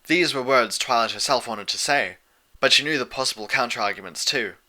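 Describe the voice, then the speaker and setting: Very bright-voiced male storyteller. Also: mid-range voice, a little fast. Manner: scripted, literary storytelling. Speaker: narrator. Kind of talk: fan fiction audiobook narration.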